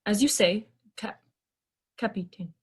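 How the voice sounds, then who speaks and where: A woman's voice: mid-range voice, a little slow, breathy edge. A woman playing a polite, literal-minded first mate in a sci-fi audio drama, speaking in stilted, earnest, formal phrases. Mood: pleasant, formal.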